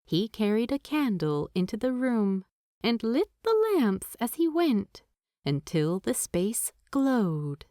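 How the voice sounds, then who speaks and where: A woman reads a story aloud at a slow, deliberate pace. Her medium-pitched voice moves expressively up and down, recorded dry like an audiobook. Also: dry recording. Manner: unhurried, expressive, deliberate phrasing. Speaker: narrator. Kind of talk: scripted fiction narration, audiobook storytelling.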